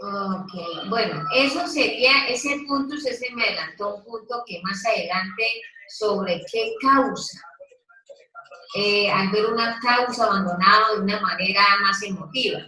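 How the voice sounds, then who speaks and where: Woman leading a group lesson: slow, prompting questions, sits back from the mic in a roomy space. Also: mid-range voice, sharp tone. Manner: slow, questioning, coaxing listeners to take part. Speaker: Bible study leader. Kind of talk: interactive Bible teaching. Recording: echoey room, mic at a distance.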